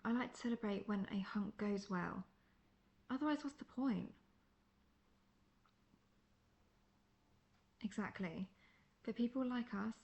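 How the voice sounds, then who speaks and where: Gravelly, world-weary monster hunter played by a woman. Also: medium pitch, a little mellow, close to an everyday voice. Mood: relaxed, friendly. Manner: wry, conversational, self-assured. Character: seasoned, cocky, world-weary female monster hunter, friendly mentor. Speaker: voice actor in audio roleplay. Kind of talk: fantasy roleplay audio acting.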